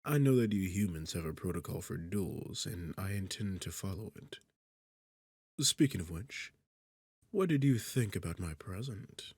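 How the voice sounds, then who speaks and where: A man voicing a smug, sarcastic demon king in an ASMR roleplay, with a husky, gravelly low voice and a mocking, theatrical delivery. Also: lively intonation, measured pace, darkish tone, no room sound, exaggerated voice. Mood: smug, measured. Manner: mocking, teasing, condescending, theatrical. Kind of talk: ASMR roleplay audio drama.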